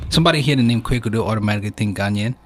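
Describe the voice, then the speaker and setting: A man's voice: fairly low pitch, rapid-fire, slight background noise. Laid-back man hosting a podcast chat. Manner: laid-back, short affirmations, slangy. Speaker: podcast host. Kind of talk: casual interview chat.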